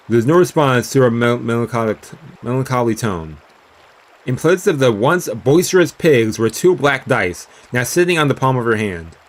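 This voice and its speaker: A man live-streaming a read-aloud of a visual novel, stumbling over words now and then and dropping into casual asides. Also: mid-range voice. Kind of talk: live let's-read narration with casual reactions. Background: game music.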